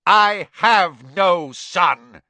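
A man voicing a stern, hate-filled older magical judge in an audiobook, delivering loud, formal pronouncements. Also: mid-range voice, very animated, measured pace, no echo, pitched above the performer's own voice. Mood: furious. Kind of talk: dramatised audiobook character voice.

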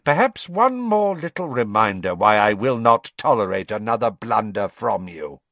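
Storybook villain, man playing a male dark lord: icy, menacing, mocking, deliberate, very dry sound. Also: big pitch swings, no room sound. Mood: menacing, cruel. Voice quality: cold, high-pitched.

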